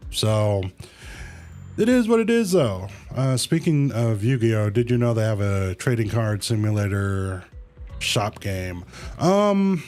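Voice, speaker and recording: A man hosting a livestream, chatting casually with viewers in a halting way, thinking aloud and repeating his phrases. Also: on the low side, lively intonation, darkish tone. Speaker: livestream host. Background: music.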